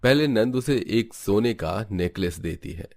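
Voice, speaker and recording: Deep-voiced man narrating a story. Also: animated, a little slow. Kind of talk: scripted cartoon story narration.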